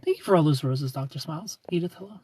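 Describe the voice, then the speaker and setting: A man's voice: a little high, animated, fast talker, mellow, breathy edge, dry recording. A man in his thirties hosting a casual livestream, reading viewer comments aloud, joking about himself and laughing often. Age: 30s. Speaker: livestream host.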